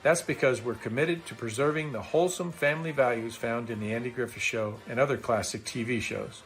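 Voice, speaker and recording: Mid-pitched man in a promo. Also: a little fast, fairly bright tone, faint music behind. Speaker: campaign presenter and film maker. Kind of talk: scripted promotional narration.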